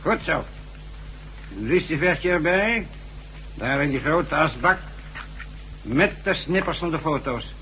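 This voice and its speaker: Sharp, smug villain boss. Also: male voice, on the high side, expressive, exaggerated voice, low-quality recording. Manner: deliberate, commanding, self-satisfied. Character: smug, bossy male villain chief persuading underlings. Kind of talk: science fiction radio drama acting. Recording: old radio drama with faint hum.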